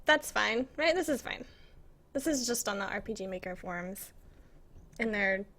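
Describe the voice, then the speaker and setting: A woman's voice: medium pitch, animated, moderate pace, a bit bright. An American woman chatting casually to viewers on a live stream, rambling in a self-conscious, giggly way. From United States. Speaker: live streamer and game map maker. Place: indoors at a computer in an apartment.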